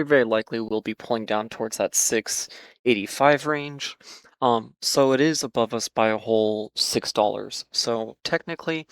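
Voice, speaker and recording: A man talking casually through stock charts, hoarse and losing his voice, with many fillers and repeated hedges. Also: mid-range voice, no room sound, poor audio. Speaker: market analysis host. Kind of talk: casual trading chart commentary.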